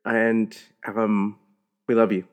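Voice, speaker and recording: A man co-hosting a podcast in casual chat, talking in a warm, sentimental way. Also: fairly low pitch, flat delivery, takes it slowly, clear, resonant tone.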